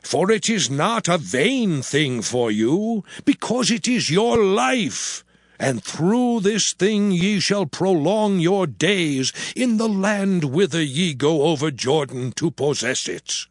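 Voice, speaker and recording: Man acting slow, solemn prophet Moses. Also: high-pitched, animated, no room sound, studio quality, full character voice. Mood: solemn exhortation. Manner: earnest, declamatory, deliberate. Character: Moses, solemn elder prophet blessing his people. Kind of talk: dramatized scripture reading. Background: faint background music.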